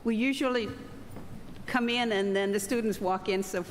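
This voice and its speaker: A woman's voice: mid-range voice, moderate pace, soft background music. A middle-aged woman from Denmark with a Danish accent, hosting a formal ceremony with chatty asides. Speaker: ceremony host, chapter president. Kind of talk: formal welcome speech. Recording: reverberant hall.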